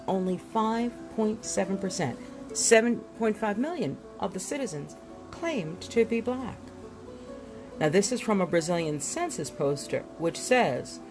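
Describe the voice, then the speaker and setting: A woman reading a nonfiction book aloud in a dramatic, deliberate way, leaning on words and leaving emphatic pauses. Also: on the low side. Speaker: book reader.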